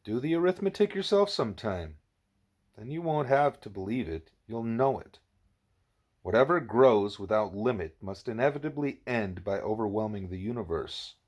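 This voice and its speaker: Wise mentor for an audiobook, played by a male reader: patient, didactic, lowish, deliberate. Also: lively intonation, darkish tone. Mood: patient, instructive. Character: wise, patient teacher leading a pupil by questions.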